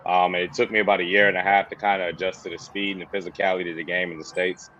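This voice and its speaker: A man in his thirties from the Bahamas, a former player turned coach, telling stories in a relaxed, slangy way with a toned-down Bahamian accent. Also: sits low, rapid-fire, muffled recording. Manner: casual, slangy, says "bro" and "you know". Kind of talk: relaxed interview storytelling.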